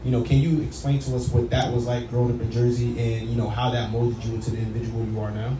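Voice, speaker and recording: Casting: male podcast host, New York accent, mid-range voice, informal and halting, off-mic with loud background noise. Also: medium pitch, little pitch movement, roomy sound, recorded from a distance. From New York, United States. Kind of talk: casual conversational interviewing.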